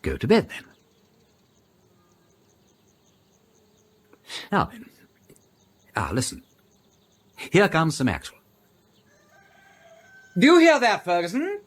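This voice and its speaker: An actor playing an eccentric, playful English aristocrat detective with an upper-class accent, whimsical, wordy and commanding in a radio drama. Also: male voice, sits high, huge pitch swings, unhurried, pauses often, exaggerated voice. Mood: brisk, commanding.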